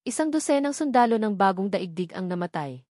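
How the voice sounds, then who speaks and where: A woman reads a novel aloud as a scripted narrator, formally and in measured, separate phrases. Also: mid-range voice, lively intonation, darkish tone, no room sound, studio quality. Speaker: audiobook narrator.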